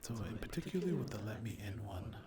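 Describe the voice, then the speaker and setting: Hushed man in a noisy, echoey room. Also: lowish voice, animated, fast talker. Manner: hushed, often slipping into whisper. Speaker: ASMR creator addressing viewers. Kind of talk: soft-spoken ASMR update. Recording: echoey room with loud noise, clicks.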